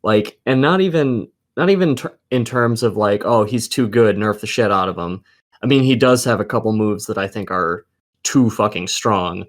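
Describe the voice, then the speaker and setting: A man with a fairly low voice chats casually about games. He is blunt and peppers his talk with heavy swearing. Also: moderate pace. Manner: blunt, heavily profane. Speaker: gaming podcast co-host. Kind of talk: casual gaming chat.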